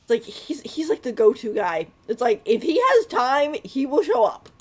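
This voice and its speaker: A woman's voice: high-pitched, sing-song, normal speed. A woman streaming a game with casual live commentary, informal and gushing as she reacts.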